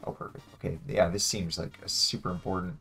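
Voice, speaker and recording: A man's voice: deep voice, little pitch movement, quick pace, gravelly. A young adult man thinking aloud in casual chat as he plays a guessing game. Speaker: player in a geography guessing game. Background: music in the background.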